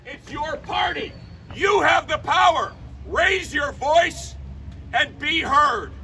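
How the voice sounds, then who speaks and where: A man giving a fiery political rally speech outdoors, loud and punchy, shouting his slogans. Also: extremely high pitch, slow, distant mic, a loud crowd, heavy background noise. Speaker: rally speaker. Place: outdoor rally by a state capitol.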